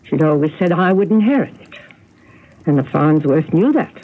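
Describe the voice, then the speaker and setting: An actress playing a genteel, agitated older spinster telling family secrets in a radio mystery drama. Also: female voice, sits low, animated, normal speed. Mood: anxious, bitter. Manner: fretful, genteel, dramatic storytelling. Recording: narrow, noisy old radio broadcast recording.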